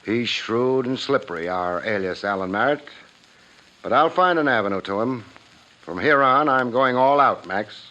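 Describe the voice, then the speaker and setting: An American actor playing a cool-headed, wisecracking private investigator in a 1950s radio drama, with a wry, clipped, hard-boiled delivery. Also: male voice, medium pitch, expressive, normal speed, noisy background, close to an everyday voice. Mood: determined. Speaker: lead actor and narrator. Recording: muffled old radio broadcast, faint music.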